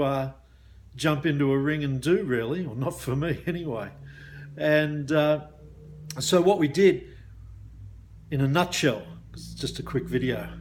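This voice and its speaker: An Australian man, a mindset coach, talking casually to viewers in a matey, colloquial way. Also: medium pitch. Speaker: business mindset coach and hypnosis practitioner. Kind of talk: casual chatty video talk to viewers.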